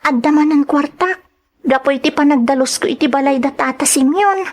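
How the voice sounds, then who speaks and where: A woman's voice: high voice, brisk, natural voice. A woman playing a poor, hurt but determined young schoolgirl in an Ilocano drama, with a Filipino Ilocano accent and a plaintive, pleading delivery. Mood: worried. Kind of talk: Ilocano radio-style drama acting.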